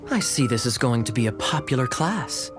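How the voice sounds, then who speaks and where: A man voicing a sly young knight in a video game, smooth-talking, wry and mock-polite. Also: mid-range voice, expressive, moderate pace, over music, natural voice. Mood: wry, teasing. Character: suave, sly, teasing young male knight. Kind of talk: animated video game voice acting.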